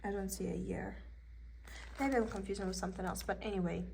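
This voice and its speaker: A young woman with a Russian accent chats her way through a craft haul, meandering and thinking aloud as she goes. Her voice sits at an ordinary middle pitch, and a faint hiss runs beneath it. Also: steady tone, fairly quick, creaky, a little mellow. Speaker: cross-stitch vlogger. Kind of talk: casual show-and-tell haul. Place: indoors at a table.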